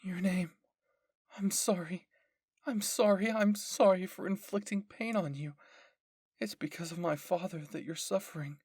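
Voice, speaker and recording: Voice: mid-range voice, a little slow, darkish tone, breathy edge, no room sound, voice pushed up. A performer acts out a young woman soldier in an anime audio drama. She is desperate and weighed down by guilt. Her pleading is urgent and tearful, recorded dry and close.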